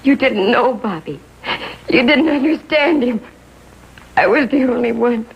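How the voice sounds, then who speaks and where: A high-voiced, husky, grieving mother. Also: animated, a little slow, full character voice. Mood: bitter grieving. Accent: American. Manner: accusing, anguished, dramatic emphasis. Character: bitter, grief-stricken mother blaming police for son's death. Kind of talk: 1950s American TV drama acting. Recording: dull vintage TV soundtrack.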